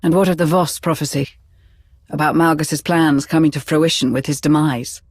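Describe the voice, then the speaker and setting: An earnest military adviser, with a fairly high, slightly husky voice. He talks formally and with concern, as a dutiful, uneasy aide in a dramatic game cutscene. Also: steady tone, moderate pace, no room sound, clean studio sound.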